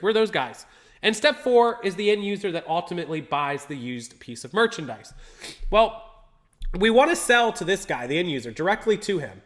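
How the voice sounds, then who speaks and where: A man hosting a live stream in an upbeat, chatty way, calling out viewers by name as he goes. His voice sits high and bright, with a slightly stuffy, congested sound. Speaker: livestream host. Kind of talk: casual live-stream talk to chat.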